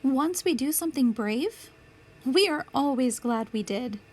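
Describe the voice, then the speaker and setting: A woman reading a picture book aloud as a storytime host, with deliberate, clear phrasing for young children. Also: high-pitched, animated. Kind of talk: children's read-aloud narration.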